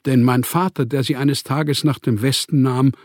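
Male actor for homeless, proud literary man in radio play. Rough-edged, reflective, melancholy, dry studio sound. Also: mid-range voice, steady tone, moderate pace, a little mellow, dry recording, lightly acted. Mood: wistful, reflective. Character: melancholy, proud, rootless Austrian writer, man. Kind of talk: autobiographical radio play monologue.

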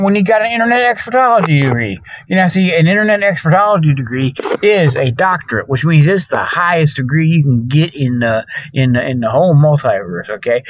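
Folksy higher-pitched man rambling, dull lo-fi sound. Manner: folksy, jokey, meandering, chuckles at own jokes. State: tired, weary. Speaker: host of a comedic Bigfoot show. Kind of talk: rambling improvised monologue with mock sales pitches. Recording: dull lo-fi radio-style audio.

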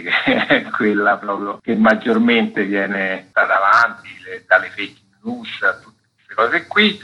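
A man, a festival curator, answering interview questions on a radio program in a relaxed, meandering way. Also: lowish voice, breathy edge, poor audio. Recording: radio broadcast.

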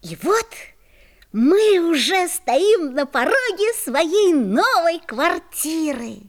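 A woman playing an excitable second-grade boy who narrates his own adventure in an audio fairy tale, expressive and wide-eyed. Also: extremely high pitch, slow pace, heavily acted. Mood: eager, surprised.

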